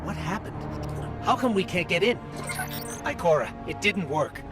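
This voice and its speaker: Earnest little robot sidekick, higher lively voice, loud score behind. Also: male voice, moderate pace, over loud music. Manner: earnest, urgent. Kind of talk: video game dialogue.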